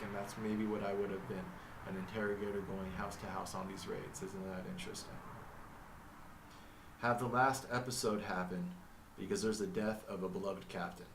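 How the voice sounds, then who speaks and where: A man with a low, even voice and little lift in his pitch talks through a reflective monologue. He halts and restarts as he reads from notes, and his first language is Arabic. He sits back from the mic in a roomy, echoing space with a faint hum behind him. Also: far from the mic. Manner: halting, thinking aloud with restarts. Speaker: vlogger narrating his own story. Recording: echoey room, mic set back.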